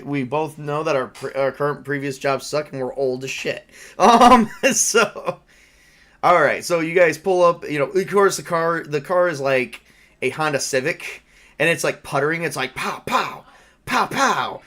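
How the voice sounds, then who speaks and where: A man's voice: on the high side, normal speed, bright, forceful tone. A man casually improvising as game master, rambling and rephrasing as he narrates and banters in character. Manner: rambling, repeats and rephrases himself, makes spoken sound effects.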